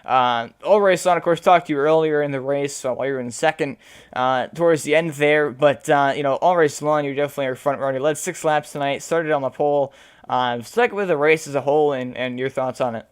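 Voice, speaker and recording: A man with a hoarse, fading voice interviewing sim racing drivers after a race, rambling with many fillers. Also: fairly high pitch, brisk. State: losing his voice.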